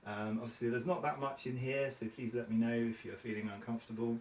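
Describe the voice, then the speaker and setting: A man's voice: medium pitch, fairly even intonation, fast, a little mellow, slight background noise. A man from Cornwall, leading a small training session in an upbeat, encouraging way. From Cornwall, England. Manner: upbeat and encouraging, frequent fillers. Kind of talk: friendly training-session facilitation. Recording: echoey room, mic at a distance.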